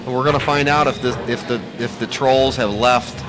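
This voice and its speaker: A man's voice: medium pitch, steady tone, moderate pace, a crowd in the background. An American man from Ohio giving casual live sports commentary in a chatty, folksy, slangy way. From Ohio, USA. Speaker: pool match commentator.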